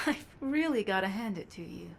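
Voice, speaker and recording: A self-assured scout from a game, acted by a woman, speaking plainly and with composure. The voice sits at a middle pitch over some ambient noise. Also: animated, moderate pace, natural voice. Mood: grateful, relaxed. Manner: matter-of-fact, assured. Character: confident, composed female recon operative briefing a soldier. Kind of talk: video game voice acting.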